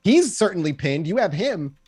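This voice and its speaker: A man's voice: high-pitched, big pitch swings, moderate pace, a little mellow, breathy edge, no echo. A man running a tabletop game as game master, narrating in an improvised, conversational way.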